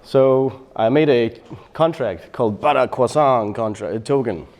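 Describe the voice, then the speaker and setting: A middle-aged man from Bosnia giving a technical conference talk on developer tooling, relaxed and explanatory, with frequent fillers and self-corrections. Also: medium pitch, a little slow. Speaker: software developer presenting.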